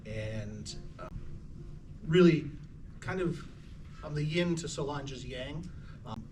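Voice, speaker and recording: A man's voice: on the high side, expressive, takes it slowly, warm tone. A man introducing his company on a panel, casual and self-deprecating. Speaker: panelist, business owner. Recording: distant very noisy room.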